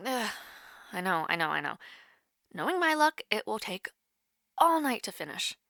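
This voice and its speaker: A woman's voice: mid-range voice, big pitch swings, moderate pace, creaky, fairly bright tone, no echo, clean studio sound. A young woman playing a nervous, rambling college student chatting casually with her online crush in an audio roleplay. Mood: flustered, chatty. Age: young adult. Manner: awkward, rambling, hesitant with many fillers. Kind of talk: naturalistic romantic audio roleplay, casual one-sided call.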